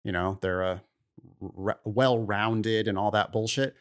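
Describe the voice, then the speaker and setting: A man's voice: fairly low pitch, steady tone, a little slow. A man co-hosting an advice podcast, talking casually and giving blunt, opinionated takes. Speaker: podcast host.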